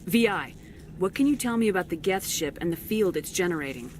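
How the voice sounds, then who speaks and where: A woman's voice: lowish voice, animated, a little fast, slight background noise, close to an everyday voice. A woman playing a resolute military commander in a video game, with a direct, businesslike manner. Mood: brisk, probing.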